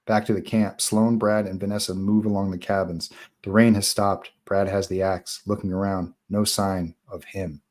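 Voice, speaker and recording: A man's voice: low-pitched, level tone, moderate pace, a little mellow. A man reading stage directions as narrator in a live table read of a horror screenplay.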